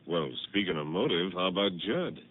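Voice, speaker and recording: An actor playing an easygoing small-town sheriff with a folksy drawl, in a 1950s radio drama. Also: male voice, deep voice, expressive, normal speed. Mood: thoughtful. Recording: tinny old radio broadcast.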